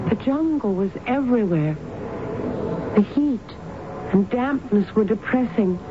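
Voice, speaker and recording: An actress playing a genteel English army wife of the 1870s under hypnosis, hesitant and formal, in radio drama style. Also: female voice, a little high, lightly acted. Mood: subdued, uneasy. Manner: slow, hesitant, formal and refined. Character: hypnotized genteel English army officer's wife, haunted, recalling 1870 India. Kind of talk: 1970s American radio drama acting. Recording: noisy, muffled old radio broadcast recording.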